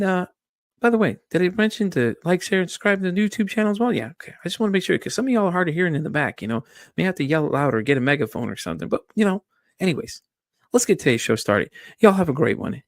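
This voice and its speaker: A man's voice: high voice, very animated, fast, dry recording, clean studio sound. A man hosting his podcast in casual chat, joking and chatty with his listeners. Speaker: podcast host.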